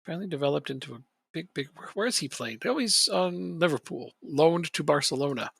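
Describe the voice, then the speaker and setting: A man's voice: on the high side, animated, fairly quick, vocal fry, fairly bright tone, breathy edge, no room sound, clean studio sound. A man chatting casually on a live stream while playing a football management game, rambling and joking and chuckling often.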